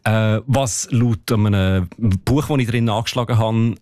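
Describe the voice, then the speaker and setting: A man with a Swiss German accent, calmly explaining dialect research as a radio guest. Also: lowish voice, measured pace, dry recording, studio quality. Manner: thoughtful, explanatory, with fillers.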